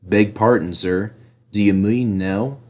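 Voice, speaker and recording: A man's voice: fairly low pitch, takes it slowly, darkish tone, pitched below the performer's own voice. A man voicing an earnest, polite, plucky male tank engine in a storybook reading. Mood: polite, subdued.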